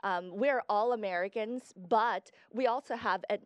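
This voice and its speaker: Young woman guest from Guatemala, mid-pitched, chatty, dry close sound. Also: normal speed, no echo. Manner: chatty, explains at length with fillers. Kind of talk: casual interview chat.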